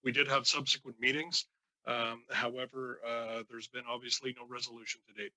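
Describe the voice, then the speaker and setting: A man giving formal testimony as a union leader, answering haltingly with many false starts. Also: mid-range voice, little pitch movement, sharp tone, dry recording, rough recording. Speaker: union president, committee witness.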